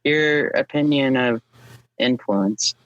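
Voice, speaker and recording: A man's voice: medium pitch, slow pace, a bit bright, no room sound, dull audio. A man speaking as a podcast guest in casual chat, hesitant, with wry, understated humor. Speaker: podcast guest, drift driver.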